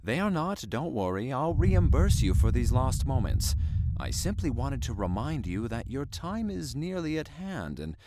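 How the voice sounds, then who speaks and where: An actor playing the devil in the guise of a young male door-to-door salesman, courteous and quietly menacing, in an audio drama. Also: mid-range voice, very animated, normal speed, heavy background noise. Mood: silkily polite. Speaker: supernatural visitor.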